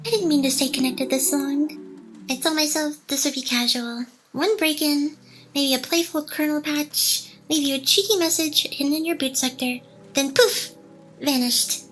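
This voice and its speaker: A woman playing a playful, possessive female AI hacker in love, in an intimate ASMR roleplay with breathy sighs and soft teasing. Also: high-pitched, moderate pace, low-quality recording. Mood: teasing confession.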